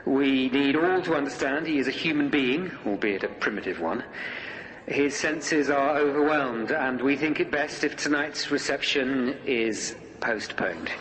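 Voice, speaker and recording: An actor in a radio drama playing a flustered, deferential male host, speaking formally, haltingly and apologetically. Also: medium pitch, fairly bright tone, slight background noise, natural voice, rough recording. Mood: nervous, formal. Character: flustered, deferential male event host placating high-ranking guests. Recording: crowd murmuring behind the voice.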